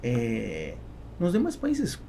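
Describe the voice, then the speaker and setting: A man in his forties with a Spanish accent in Portuguese, talking casually and haltingly as a podcast guest. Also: mid-range voice, wildly swooping pitch, gravelly, dark tone. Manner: halting, with repeated words. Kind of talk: casual interview talk. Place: small foam-padded podcast studio. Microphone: close studio microphone on boom arm. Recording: faint background noise.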